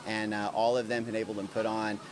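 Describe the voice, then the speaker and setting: A man's voice: on the low side, little pitch movement, quick pace. A man speaking as a fitness coach, explaining things informally and instructively in a live interview. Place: gym, on location. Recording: faint noise of a gym.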